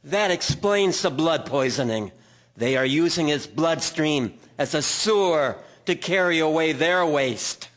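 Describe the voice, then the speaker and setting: An actor playing a formal, earnest Victorian doctor in an audio drama. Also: male voice, fairly high pitch, lively intonation, fairly bright tone. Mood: amazed. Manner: formal, measured wording. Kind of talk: scripted audio drama acting.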